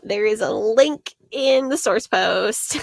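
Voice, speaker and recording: A woman's voice: medium pitch, lively intonation, slow, gravelly, no room sound. A woman co-hosting a podcast, talking through her research in an earnest, analytical way and laughing now and then. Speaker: podcast co-host. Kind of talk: casual podcast discussion.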